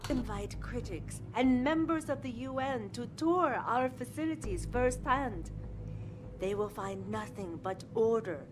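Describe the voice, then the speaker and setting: An actress playing a poised corporate chief executive, making a measured, self-assured formal public statement. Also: female voice, on the high side, lively intonation, noisy background. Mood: assured, defensive. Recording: music behind the voice.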